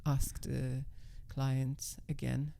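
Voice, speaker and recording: A woman guest with a naturally low voice, speaking in a calm, level and assertive way, with slightly non-native English phrasing. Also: drawn-out words, creaky. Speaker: interview guest, professional dominatrix. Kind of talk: casual conversation.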